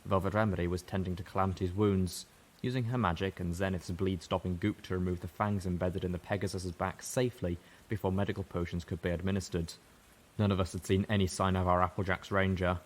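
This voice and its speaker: A man reading a story aloud in first person, steady and measured. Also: deep voice. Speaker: audiobook reader. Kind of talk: first-person narration of fan fiction.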